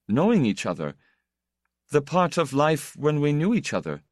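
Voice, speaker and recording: A proud young man from an audiobook, as a male reader plays him, stiff and awkward with bitterness underneath. The pitch is raised and moves a lot, in a dry recording. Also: sing-song, normal speed, dry recording. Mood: bitter, resigned. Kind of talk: audiobook character dialogue.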